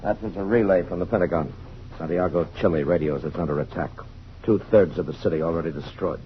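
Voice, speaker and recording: A muffled old radio recording has an actor as a male army colonel with a deep voice. He speaks rapidly in a clipped, authoritative military manner, confident and brisk. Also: natural voice. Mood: brisk, commanding. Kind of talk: old radio drama acting. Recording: muffled vintage radio with faint noise.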